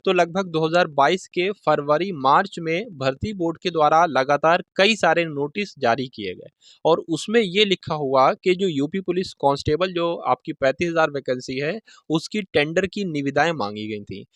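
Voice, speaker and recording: A man talks straight to his viewers in a slightly high voice, recorded dry and close. He explains updates informally, circling back over points and tagging phrases with quick check-ins. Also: fairly bright tone, dry recording. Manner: repetitive, with frequent check-in tags. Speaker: exam-news video host.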